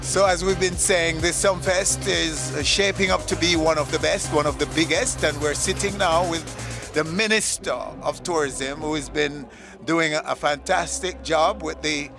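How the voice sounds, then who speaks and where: A male television host with a Jamaican accent presents in a notably high voice. Music plays clearly behind him as he reports in broadcast style. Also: steady tone, a little slow.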